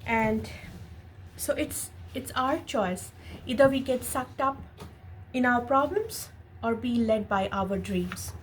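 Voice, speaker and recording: High-voiced woman reading aloud, noisy background. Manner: expressive reading, pausing to explain and ask questions. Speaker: parent reading a picture book aloud to their child. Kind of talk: read-aloud storytelling with casual asides to a child and viewers. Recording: noticeable background noise.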